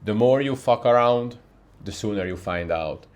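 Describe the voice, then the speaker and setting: A man's voice: lowish voice, normal speed, a little mellow. A young man speaking English as a second language, talking earnestly to camera in a home studio, often restarting his sentences. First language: not English. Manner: earnest, frequent restarts, non-native phrasing. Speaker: YouTube creator. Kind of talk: unscripted talking-head vlog. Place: dark room, home studio with softbox. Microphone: camera mic facing the speaker.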